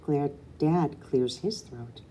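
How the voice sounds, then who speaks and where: A woman reading a children's novel aloud, an expressive narrator with dramatic emphasis. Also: sits low, takes it slowly, a little hiss or hum. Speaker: audiobook reader. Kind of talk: expressive storybook narration, first person as a girl.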